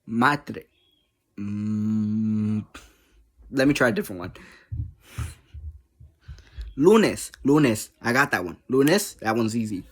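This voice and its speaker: An online creator chatting casually to viewers in a rambling, easily distracted way, energetic, giggly and self-deprecating. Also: mid-range voice, slow, long pauses.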